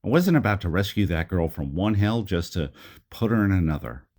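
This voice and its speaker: Gruff gun-for-hire with a code, male actor, audio drama: very deep, tough, plain-spoken. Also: expressive, a little fast, a little mellow. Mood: gruff, dismissive. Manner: blunt, colloquial, tough. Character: gruff, self-deprecating hired gun with a code.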